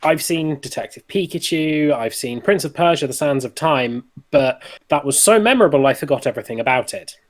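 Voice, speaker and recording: A man's voice: on the high side, lively intonation, brisk, few pauses. A man on a podcast panel chatting casually in a dry, wry way. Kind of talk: casual chat.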